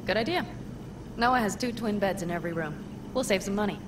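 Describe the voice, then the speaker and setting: A woman's voice: medium pitch, fairly quick, noisy background, lightly acted. An actress playing a tough, guarded young nomad woman with a blunt, wry, earthy way of talking in a video game drama. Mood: calm, friendly.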